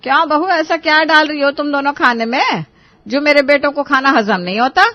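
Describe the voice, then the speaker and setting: A woman's voice: sits high, brisk, no echo, dull audio, voice pushed up, close to an everyday voice. A woman voicing a scolding, protective older mother-in-law in a Hindi cartoon, questioning her family sharply. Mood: suspicious scolding.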